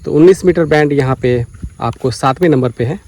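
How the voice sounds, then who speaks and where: A man's voice: on the high side, a little fast, a little mellow. A man from India, explaining things methodically in a vlog tutorial and repeating key details. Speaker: vlogger presenting a radio tutorial. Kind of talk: explanatory vlog commentary while demonstrating. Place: outdoors beside farm fields.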